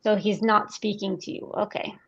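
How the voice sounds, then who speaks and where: A woman hosting a group session over a video call, gently coaxing participants with questions. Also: mid-range voice, flat delivery, normal speed, husky, dry recording, muffled recording. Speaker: host guiding a group session.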